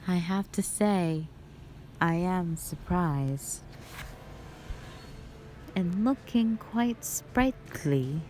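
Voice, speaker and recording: A woman playing a cruel, mockingly sweet spider-woman monster who taunts slowly and with menace in a fantasy audio roleplay. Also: fairly low pitch, mellow, a little hiss or hum, rough recording. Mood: taunting menace. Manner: slow, drawn-out, condescending taunting.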